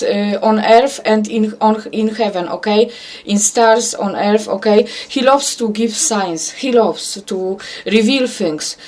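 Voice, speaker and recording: A woman's voice: mid-range voice, a little mellow. A woman from Poland with a Polish accent and Polish as her first language, giving an earnest religious monologue to camera. She repeats herself often and keeps checking in with "okay?". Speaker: self-described prophet.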